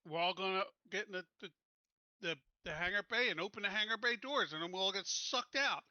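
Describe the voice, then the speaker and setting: High, sharp, animated man gaming. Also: brisk, no echo, muffled recording. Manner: blunt, emphatic, sweary. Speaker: player in tabletop roleplaying game. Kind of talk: casual game table chat.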